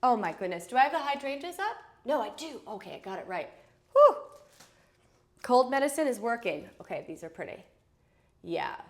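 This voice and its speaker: A woman's voice: moderate pace, pauses often. A woman hosting a live shopping stream, chatting casually while unboxing, her voice nasal and stuffy from a head cold, joking and teasing herself as she goes. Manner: chatty, jokey, self-teasing, lively swings in pitch and loudness.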